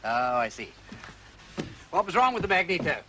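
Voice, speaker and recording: An actor playing a genial, shrewd, aristocratic English gentleman sleuth with an upper-class accent, urbane and probing, in a period detective drama. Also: fairly high pitch, very animated, normal speed, slight background noise. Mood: breezy. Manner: affable, urbane, probing with questions.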